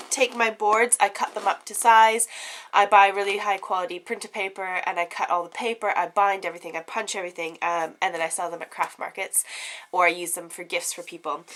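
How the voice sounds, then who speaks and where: A young woman talks through her craft projects with a blocked, stuffy nose from a head cold. Her voice is bright, and she chats casually, full of little laughs and filler ums. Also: mid-range voice, a little hiss or hum. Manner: chatty, giggly, lots of ums. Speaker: craft vlogger. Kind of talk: casual show-and-tell chat.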